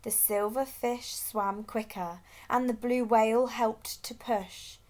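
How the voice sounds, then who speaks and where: A woman's voice: on the high side, steady tone, slow, a little mellow. A woman reading a children's storybook aloud expressively as a storyteller.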